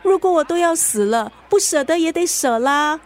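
Casting: sassy, caring Singaporean best friend, very high voice, colloquial radio-drama delivery. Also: female voice, expressive, moderate pace, a little hiss or hum. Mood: matter-of-fact. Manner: colloquial, mixes in English words.

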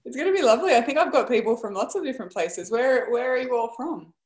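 A woman's voice: fairly high pitch, expressive, fast, a little hiss or hum. A woman hosting a casual group video call from home, effusive and chatty, thinking aloud as she goes. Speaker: host of a community video call. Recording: roomy video call audio.

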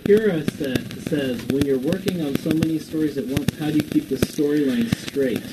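Male moderator, fairly high voice, relays listener questions informally over a very noisy live stream. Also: normal speed. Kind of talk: casual live Q&A, reading out questions.

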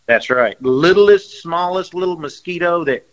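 A man tells stories as a radio guest, animated and circling back over his points. His voice is bright and forceful, heard through slightly dull, lo-fi audio. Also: fairly high pitch, unhurried. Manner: animated storyteller, repeats himself. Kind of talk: storytelling in casual chat.

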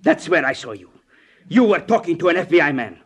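An actor playing a menacing, gloating communist party boss in a 1950s radio drama, speaking with heavy emphasis. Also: male voice, on the high side, lively intonation, fairly quick, breathy edge. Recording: faintly noisy old radio recording.

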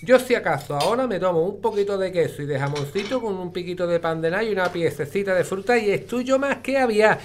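A woman's voice: mid-range voice, animated, fast, few pauses, a little hiss or hum, natural voice. An actress playing a blunt, folksy old village grandmother with an Andalusian accent and rustic, colloquial speech in a comic audio drama. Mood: chatty, blunt. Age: older. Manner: rustic, colloquial, mispronounces words.

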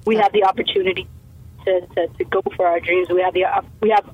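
Young woman from canada on a tinny phone line, husky, lots of likes and you knows. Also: mid-range voice, fairly even intonation, fast, no echo. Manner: rambling, many fillers and restarts. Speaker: musician guest. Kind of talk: casual interview talk.

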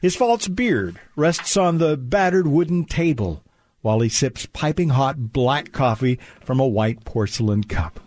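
A man acting as the genial narrator of an old-time holiday radio play, with polished, deliberate storyteller phrasing. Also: mid-range voice, expressive, darkish tone, no room sound. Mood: descriptive, storytelling.

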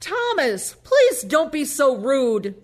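A woman playing a devout, defensive elderly mother in an audio play, gentle and pleading. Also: high-pitched, big pitch swings, slow, heavily acted. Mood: indignant.

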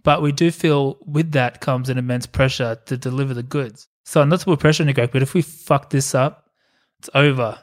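A man's voice: medium pitch, fairly even intonation, normal speed. A man in his thirties co-hosting a movie podcast in casual chat, joking and laughing often. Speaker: podcast co-host.

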